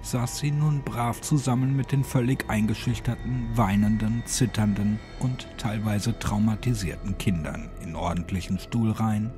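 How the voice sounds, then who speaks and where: A man's voice: on the low side, mellow. A man narrating a scripted horror audiobook in a dramatic style.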